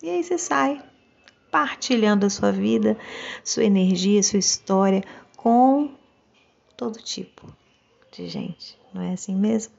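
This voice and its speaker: A woman's voice: medium pitch, expressive, a little slow, creaky, long pauses, darkish tone, lo-fi sound. A woman with a Brazilian Portuguese accent giving a casual, reflective monologue, musing and often checking for agreement with little tag questions.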